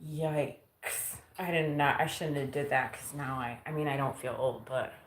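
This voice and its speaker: Woman, 40s, low pitch, casual self-teasing live-stream talk, roomy faint-ambience sound. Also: steady tone, measured pace, slight background noise. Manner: chatty, self-teasing, laughs often. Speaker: vlogger. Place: home kitchen.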